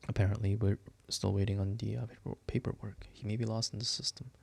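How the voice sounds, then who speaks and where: An offhand lawyer fumbles through his files on a call, as a man reads him. He sounds unbothered and vague. The voice is low, level and gravelly. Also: little pitch movement, quick pace. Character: offhand male lawyer on the phone.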